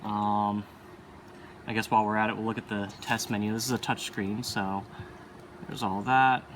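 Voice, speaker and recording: A man's voice: on the low side, level tone, moderate pace, fairly bright tone, a little hiss or hum. A man giving casual, unscripted hands-on commentary as a hobbyist, offhand and often muttering to himself while he handles equipment. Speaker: hobbyist arcade machine video maker.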